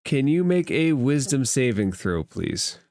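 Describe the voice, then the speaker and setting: A man narrating a tabletop roleplaying game as game master, explaining rules and addressing the players in a measured way. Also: mid-range voice, sing-song, a little mellow, dry recording, clean studio sound.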